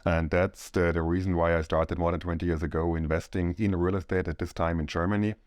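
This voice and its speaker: A middle-aged man from Austria talks through his background in a podcast chat. His voice sits very low and the sound is dry and close. He speaks in a measured, halting way, pausing to find his words. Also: little pitch movement, darkish tone, dry recording. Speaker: co-owner of a real estate firm.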